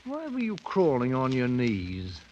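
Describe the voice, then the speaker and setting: A man's voice: mid-range voice, wildly swooping pitch, measured pace, darkish tone, muffled recording. An actor playing a brash, boastful British ex-soldier turned king, slangy and commanding, in 1950s radio drama style. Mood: curious, gruff. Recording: noisy 1950s radio broadcast recording.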